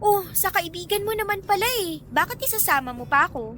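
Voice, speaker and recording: A woman's voice: very high voice, lively intonation, a little fast, a bit bright. A woman playing a feisty young Filipina in a radio romance drama, bickering with sassy, sarcastic and whiny banter. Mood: suspicious. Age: young adult.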